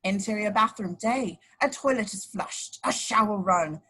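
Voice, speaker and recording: A woman's voice: medium pitch, measured pace, pushed and bright, breathy edge. A woman reading scripted action descriptions as narrator at an informal table read, now and then stumbling and correcting herself. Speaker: narrator of stage directions.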